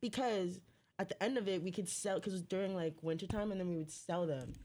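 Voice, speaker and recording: A woman's voice: medium pitch, quick pace, mellow. A young woman co-hosting a casual podcast, talking in a slangy, laid-back way. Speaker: podcast co-host. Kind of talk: casual chat.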